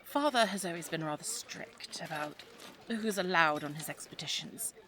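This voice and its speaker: A woman's voice: fairly low pitch, lively intonation, moderate pace, pushed and bright, slight background noise. A woman playing a spirited, teasing young English lady of the 1920s in an audio drama, chatty and well-spoken with an English accent. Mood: confiding. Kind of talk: audio roleplay drama, addressed to the listener.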